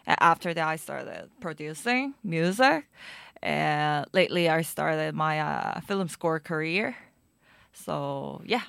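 A young woman with a Korean accent, a guest in an interview, speaking halting English with many fillers. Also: low-pitched, animated, creaky, fairly bright tone, clear, resonant tone, no echo, clean studio sound. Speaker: guest musician and DJ. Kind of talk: casual interview.

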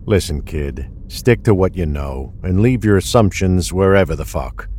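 Guy doing old tired cop in a crime radio play, deep voice, gruff n short with people. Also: expressive, normal speed, warm tone. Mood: irritated, curt. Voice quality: low-pitched. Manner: curt, world-weary, swearing. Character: weary, gruff veteran police detective nearing retirement. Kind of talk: audio drama acting.

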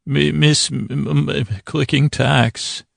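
A man hosting a bedtime podcast in a halting, meandering improvised monologue, whimsical and apologetic, with a gravelly creak in his voice. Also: fairly high pitch, flat delivery, dry recording, clean studio sound. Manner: meandering, halting, trails off into tangents and self-corrections. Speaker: podcast host.

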